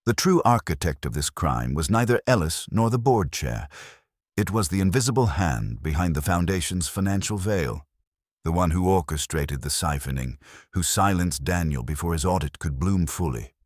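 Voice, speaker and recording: A man voicing a brilliant, ponderous detective in an audiobook, speaking formally and deliberately, as if pronouncing judgement. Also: low-pitched, lively intonation, dry recording, studio quality. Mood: grave, certain. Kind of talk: audiobook character dialogue.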